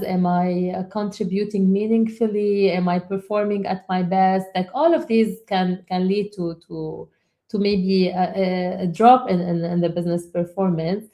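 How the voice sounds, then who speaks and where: A woman co-hosting a webinar, talking casually and haltingly, with frequent restarts and repeated words. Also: medium pitch, warm tone. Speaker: webinar co-host.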